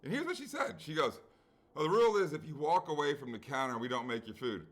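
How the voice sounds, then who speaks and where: A man's voice: a little high, fast talker. A middle-aged man on a sports betting podcast, telling a long everyday story and acting out the people in it, with casual swearing. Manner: animated storyteller, acts out quoted people, swears freely. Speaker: podcast co-host. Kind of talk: casual chat, long anecdote.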